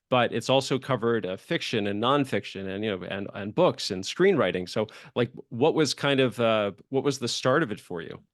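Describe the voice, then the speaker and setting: A man's voice: mid-range voice, no echo, studio quality. A man hosting a podcast in a casual, chatty, enthusiastic conversational interviewing style. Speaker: podcast host.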